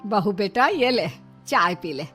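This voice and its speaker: A woman's voice: medium pitch, lively intonation, measured pace, fairly bright tone, faint music behind. A woman voicing a long-suffering middle-aged mother-in-law in a story, protective and pleading in an earnest, plaintive way. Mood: gentle, sorrowful. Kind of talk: dramatised Hindi moral story.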